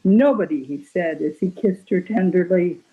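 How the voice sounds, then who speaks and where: A woman reads verse aloud with lively, swooping pitch and an unhurried pace. Her voice is a bit husky, and the sound is slightly dull and lo-fi. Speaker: reader. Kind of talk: expressive poetry reading.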